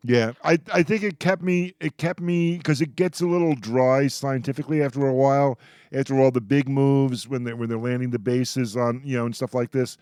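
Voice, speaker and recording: A man's voice: mid-range voice, fairly even intonation, moderate pace, a little mellow, smooth, dry recording. Casual man, close dry sound. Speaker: panelist in a TV show discussion. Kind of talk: casual chat.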